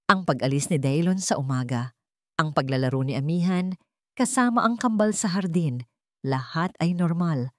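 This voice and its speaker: A woman with a slightly low voice narrates a dramatic love story from a script. The recording is dry, with very little room sound around her. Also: lively intonation, moderate pace, no echo.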